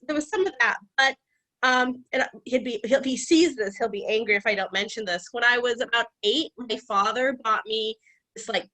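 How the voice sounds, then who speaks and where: Female scholar guest speaker, high voice with wide swings, animated public talk. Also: rough recording. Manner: animated, conversational, occasional restarts. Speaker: guest lecturer. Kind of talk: public talk on ancient history.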